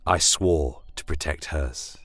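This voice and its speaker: A man narrates a fantasy story in a low voice. His delivery is solemn, measured and scripted, recorded dry with faint music behind it. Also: fairly bright tone, slightly rough, dry recording. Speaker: storyteller narrating in first person.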